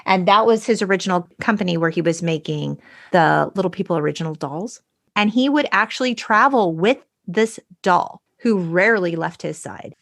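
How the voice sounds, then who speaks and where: A woman's voice: lowish voice, lively intonation, normal speed, gravelly. A middle-aged woman co-hosting a podcast, chatting casually and recounting researched facts like a storyteller. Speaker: podcast co-host. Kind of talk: casual chat.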